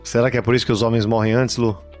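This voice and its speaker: A man hosting a health podcast, relaxed and friendly as he interviews a guest. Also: mid-range voice, racing, faint music behind. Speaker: podcast host. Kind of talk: casual health podcast chat.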